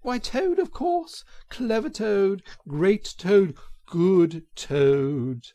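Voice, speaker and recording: A man voicing a vain, excitable fat toad from a storybook, given to boastful and self-pitying exclamations. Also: sits high, drawn-out words, pitched above the performer's own voice, exaggerated voice. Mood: gleeful, boastful. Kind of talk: dramatised storybook character reading.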